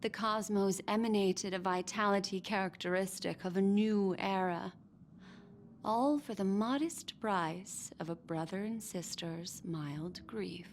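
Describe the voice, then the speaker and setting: A woman playing a solemn storyteller who narrates a cosmic saga in a video game, measured and literary. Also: mid-range voice, slight background noise, close to an everyday voice. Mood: solemn, reflective.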